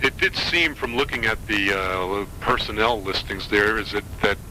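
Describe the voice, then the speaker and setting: A man interviewing someone conversationally, with halting, tentative phrasing, on a radio phone interview recording. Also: on the low side, sharp tone, slight background noise, poor audio. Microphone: telephone interview recording.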